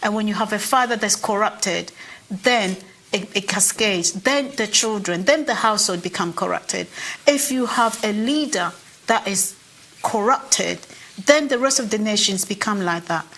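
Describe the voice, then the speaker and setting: A woman's voice: medium pitch, a bit bright. A Ghanaian woman with a Ghanaian accent making an earnest, impassioned address to viewers, pleading and repeating phrases for emphasis. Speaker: commentator. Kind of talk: impassioned direct-to-camera address.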